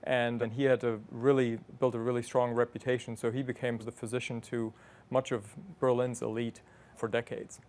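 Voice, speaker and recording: A man in his fifties who grew up in West Germany, with German as his first language, answering interview questions in a measured, thoughtful way. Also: mid-range voice, little pitch movement, darkish tone. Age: 50s. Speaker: guest.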